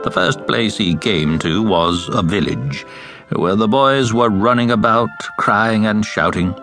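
A man narrating fairy tales as a scripted audiobook, in an expressive storytelling manner. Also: fairly low pitch, measured pace, over music.

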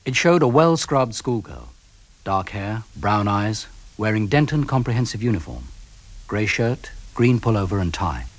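An actor playing a put-upon young police officer with a husky voice, narrating a radio crime drama in a dry, clipped manner. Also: male voice, on the low side, big pitch swings, unhurried, dry recording, full character voice. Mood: matter-of-fact. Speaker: detective sidekick and narrator character.

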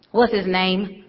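A woman's voice: mid-range voice, big-room sound, dull audio. An actress playing a stern, churchgoing mother who scolds in folksy, emphatic speech. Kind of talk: stage drama acting.

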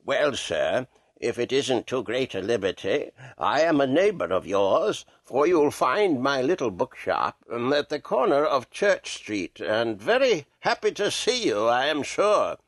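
A man voicing a wizened old book collector with a strange croaking voice, obsequious and hesitant in an old-fashioned polite way, in a storybook reading. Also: medium pitch, very animated, a little slow, no echo, pitched below the performer's own voice. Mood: wheedling, apologetic. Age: older.

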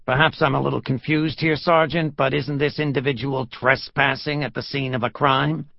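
A man's voice: normal speed, creaky, no room sound, lo-fi sound. A man voicing an overbearing, self-important police lieutenant in an audiobook, with a menacing, sarcastic bark. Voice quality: menacing, low-pitched. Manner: sarcastic, barking. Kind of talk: audiobook character voice.

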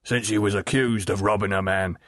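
A man's voice: fairly low pitch, steady tone, fairly quick, a bit bright, no echo, clean studio sound, lightly acted. A man voicing a surly, blunt older boatman who is a father.

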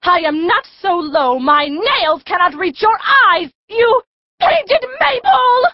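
Fiery wronged maiden for Shakespeare audiobook: extremely high voice, melodramatic, indignant, very dry sound. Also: female voice, big pitch swings, slow pace, dry recording, lo-fi sound, exaggerated voice. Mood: furious. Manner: melodramatic, declamatory. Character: wounded, indignant young maiden in love, fiery. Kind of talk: full-cast Shakespeare audiobook acting.

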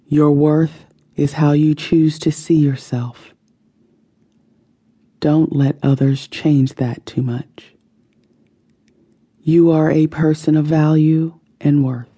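A woman narrating a guided meditation in a soothing, deliberate manner, letting her phrases end softly. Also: sits low, slightly rough. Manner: slow, soothing, deliberate delivery with soft phrase endings. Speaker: audiobook narrator.

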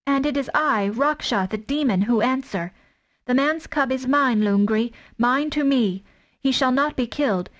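A woman's voice: high voice, a little slow, voice pushed up. A woman voicing a fierce, protective mother wolf who speaks with defiant force in a storybook reading.

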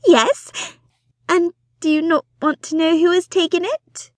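A woman voicing an excitable, fussy middle-aged mother who gushes and grows impatient with her husband. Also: a little slow, dry recording. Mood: excited, gushing. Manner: shrill, gushing, impatient.

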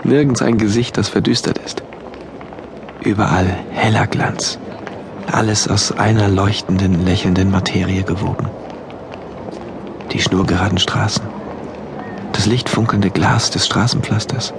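A man playing an orderly, wonderstruck engineer who narrates his diary of a regimented future in measured, deliberate phrases, in a radio play. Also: on the low side, steady tone, vocal fry, noisy background. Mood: wonder. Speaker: narrator of an audio drama. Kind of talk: literary first-person narration in a radio play. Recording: music behind the voice.

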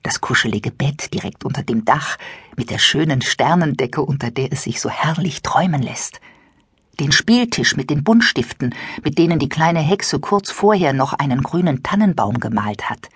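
A woman narrating a children's audiobook with expressive, scripted storytelling. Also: sits low, normal speed, slightly rough, no echo.

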